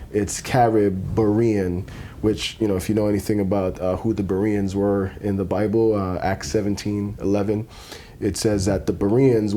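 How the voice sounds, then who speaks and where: A man from Jamaica with a low, mellow voice talks as a podcast guest in someone's home. He explains carefully and pauses often on ums. A faint rumble of noise sits behind him. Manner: measured, explanatory, many ums. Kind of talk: casual conversational podcast. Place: home, after dinner.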